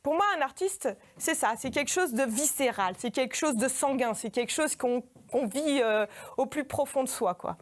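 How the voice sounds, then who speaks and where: A woman's voice: high voice, lively intonation, normal speed, hardly any pauses. A woman giving a humorous autobiographical stage monologue in an informal, hesitant, self-deprecating way. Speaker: artist giving a performance lecture. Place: in front of a live audience.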